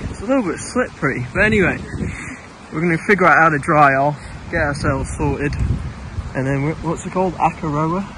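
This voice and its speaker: A man's voice: fairly high pitch, brisk, background noise. A young man, chatting breathlessly into a phone camera while hiking a rainy hilltop. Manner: breathless, chatty, laughing between remarks. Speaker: vlogger. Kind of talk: casual travel vlog narration. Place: outdoors on a rainy misty hilltop. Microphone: phone camera at arm's length.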